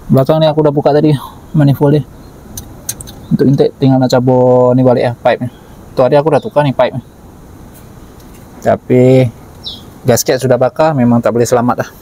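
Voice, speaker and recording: A man's voice: mid-range voice, fairly even intonation, a little fast, pauses often, dark tone, poor audio. A man with a Malaysian Malay accent, a mechanic giving plain, matter-of-fact commentary while he works on an engine in a car workshop. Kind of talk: casual work commentary.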